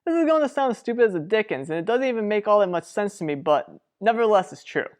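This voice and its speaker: A woman's voice: mid-range voice, expressive, fast, darkish tone, natural voice. A woman playing a level-headed, exasperated, protective sibling in an old-fashioned radio soap opera, matter-of-fact and chiding. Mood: concerned.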